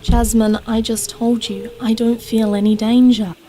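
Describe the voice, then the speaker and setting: A woman's voice: a little high, little pitch movement, a little slow, dark tone, a little hiss or hum, close to an everyday voice. A young woman acting in an audio drama as a dreamy, trusting idealist who loves art, speaking earnestly and wistfully. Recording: distant, echoey hall, music behind.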